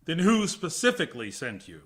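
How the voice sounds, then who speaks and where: A man's voice: a little high, wildly swooping pitch, brisk, a bit bright. A man playing a relentless, impatient interrogator in a science fiction radio drama, firing clipped, insistent questions. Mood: angry, pressing.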